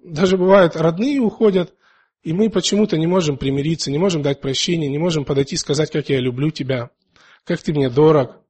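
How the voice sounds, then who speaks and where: A man preaching in a conversational, earnest way and reading scripture, pausing often, a little unwell with a dry mouth. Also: on the high side, a little mellow, breathy edge. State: slightly ill, dry mouth, clearing throat.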